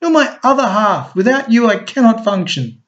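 A man voicing an eager, charming husband who coaxes and flatters his partner. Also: extremely high pitch, normal speed, voice pushed up. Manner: enthusiastic, coaxing. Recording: dull lo-fi recording.

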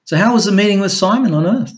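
A man's voice: high voice, fast talker, pitched above the performer's own voice, lightly acted. A man voicing a sweet but sarcastic woman who teases her partner. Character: woman, a man's loving, sharp-tongued partner. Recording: dull lo-fi recording.

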